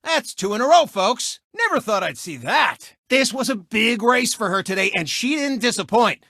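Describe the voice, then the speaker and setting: A man's voice: very high-pitched, animated, normal speed, sharp tone, dry recording, clean studio sound, exaggerated voice. A man voicing a cocky, egotistical race car who hosts a TV racing show, with boastful, mocking showboat delivery in a video game. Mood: showy announcing. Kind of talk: animated video game sportscast patter.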